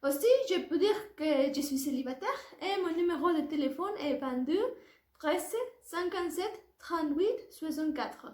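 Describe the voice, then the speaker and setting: High-voiced young Mexican woman, echoey room. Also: moderate pace, darkish tone. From Puebla, Mexico. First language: Spanish. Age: early 20s. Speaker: language student. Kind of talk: prepared oral presentation for a French class.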